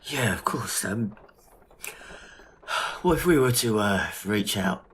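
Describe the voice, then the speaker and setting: Guy acting a loyal matey gentleman pal in an old-time audio drama, lowish voice, bit of noise. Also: animated, slow pace. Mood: insistent. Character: loyal, grieving gentleman friend, informal and companionable. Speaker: voice actor. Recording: noisy amateur audio drama recording.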